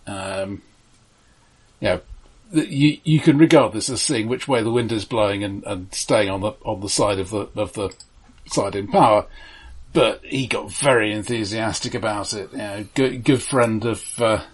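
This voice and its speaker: A man discussing film casually, in a measured, analytical way with careful qualifications. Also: lowish voice, husky. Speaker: film podcast host.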